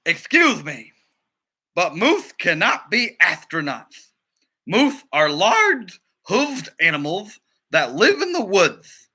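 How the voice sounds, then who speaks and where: A man's voice: high voice, wildly swooping pitch, slow pace, bright, forceful tone, husky, heavily acted. A man voicing a bossy, exasperated film director in a storybook, with an indignant, emphatic delivery.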